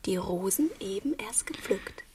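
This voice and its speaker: Breathy, playful young girl. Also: medium pitch, expressive, warm tone. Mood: adoring, hushed. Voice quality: breathy, often near whisper. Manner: coy, teasing, slow. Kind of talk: radio drama acting.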